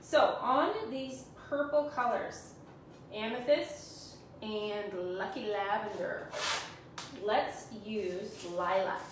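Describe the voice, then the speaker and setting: A woman's voice: mid-range voice, slow pace, background noise. Canadian woman in her forties, chatty and self-teasing crafts host, echoey room, mic far off. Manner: chatty, self-teasing, talks to viewers while working. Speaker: furniture painting tutor. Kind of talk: live painting demo chat. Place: small room indoors, sitting on the floor. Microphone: camera on a stand a few feet away.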